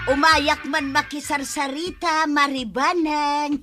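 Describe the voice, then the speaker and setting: A woman's voice: sits high, steady tone, takes it slowly. A woman with an Ilocano accent announcing a radio drama, with theatrical scripted narration and credits. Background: music.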